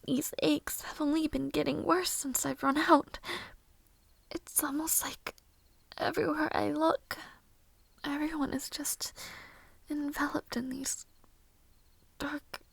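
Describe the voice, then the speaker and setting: A weary, mistreated young maid, acted by a woman, murmurs to herself in an audio drama. Her high, breathy voice is slow and often creaky, drifting into whispers. Her phrasing is soft, formal and old-fashioned. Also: little pitch movement, dry recording, full character voice. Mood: weary, anxious. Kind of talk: audio roleplay monologue.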